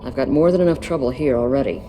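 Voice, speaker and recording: An actress playing a dutiful, weary police detective in a video game, speaking plainly. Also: female voice, rumbling low, fast talker, warm tone, in a large hall, a little hiss or hum, low-quality recording. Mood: firm, wry.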